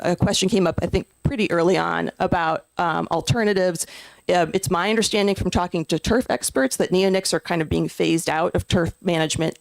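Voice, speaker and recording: A witness testifying before a legislative committee in an earnest, emphatic way, often pausing on fillers and restarting sentences. The voice has a gravelly, creaky edge and mid-range pitch. It sounds dry, with almost no room echo. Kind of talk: public testimony.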